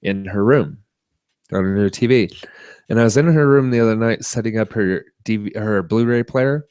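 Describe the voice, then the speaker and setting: A man co-hosting a podcast, chatting casually about tech and media in a halting, rambling way. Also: on the low side, a little mellow, smooth, dry recording. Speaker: podcast co-host.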